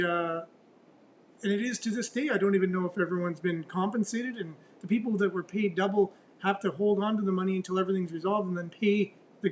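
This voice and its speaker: A man hosts a casual morning news talk show, close to his studio mic. His voice sits high and carries loudly as he rambles through the headlines and throws rhetorical questions at his co-host. A faint low rumble runs underneath. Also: poor audio. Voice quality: loud voice. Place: radio studio.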